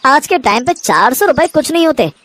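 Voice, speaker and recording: A woman's voice: sits high, sing-song, brisk, a bit bright. A woman voicing a frustrated 25-year-old son in a cartoon story, pleading and exasperated. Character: young man of 25, frustrated son.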